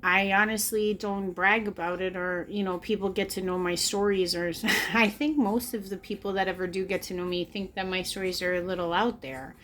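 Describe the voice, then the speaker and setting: A woman's voice: medium pitch, steady tone, normal speed, hardly any pauses, a bit bright, a little hiss or hum. A woman in her late thirties talking to camera, earnest and confessional, repeating phrases for emphasis as she reflects on her own life. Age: late 30s. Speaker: spiritual vlogger. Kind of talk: personal reflective monologue to camera. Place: indoors, in front of a camera.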